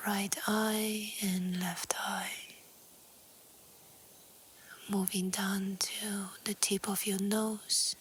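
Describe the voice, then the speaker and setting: A woman with a soft, breathy, whispery voice calmly guiding a relaxation meditation in short, gentle phrases. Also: medium pitch, slight background noise. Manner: calm, hushed, gentle, with short pausing phrases. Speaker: yoga nidra meditation guide. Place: outdoors in nature. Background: faint natural ambience.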